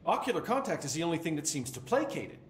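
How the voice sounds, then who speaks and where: Dry sardonic govt supervisor guy in a video game, lively intonation, bit of noise behind. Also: fairly high pitch, fast. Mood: businesslike. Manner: deadpan, sardonic. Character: dry, world-weary male government containment supervisor. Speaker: prison-like containment supervisor. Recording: roomy echo, faint background noise.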